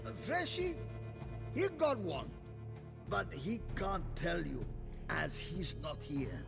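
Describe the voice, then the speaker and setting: A simple-minded, eager beggar from a fantasy game. The voice is high and creaky, swinging widely as he haltingly speaks. Music plays behind. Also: huge pitch swings, full character voice. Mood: hesitant, eager. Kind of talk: video game voice acting.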